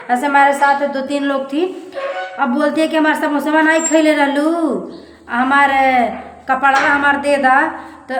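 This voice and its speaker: A young woman with a Bhojpuri accent from eastern Uttar Pradesh, confiding plaintively and casually to her vlog viewers and repeating her phrases. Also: sits high. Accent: Bhojpuri-accented Hindi, eastern Uttar Pradesh. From eastern Uttar Pradesh, India. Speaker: family vlogger. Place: indoors in a rented room. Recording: echoey room with clear background noise.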